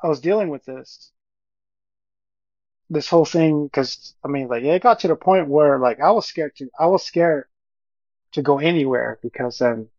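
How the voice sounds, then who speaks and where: Middle-aged man, fry-laden voice, earnest halting storytelling, podcast guest, dry muffled sound. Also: on the high side, darkish tone, no room sound. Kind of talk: personal testimony storytelling.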